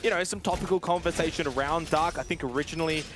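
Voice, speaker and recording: A man's voice: on the high side, quick pace, noisy background. A man giving live play-by-play commentary as an esports caster.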